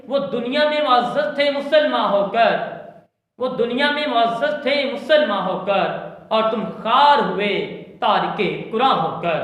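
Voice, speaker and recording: Pakistani guy teacher giving a formal lecture, highish voice, really echoey, speaks like an orator. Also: a bit bright. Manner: oratorical, deliberate, repeats phrases for emphasis. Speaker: Urdu language and literature teacher. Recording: strongly echoing, reverberant room sound.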